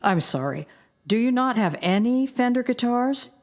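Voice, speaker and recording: A brisk, diplomatic band manager in an audio drama. Her voice is fairly high and swings freely in melody. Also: expressive. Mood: polite, urgent. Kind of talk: naturalistic audio drama acting.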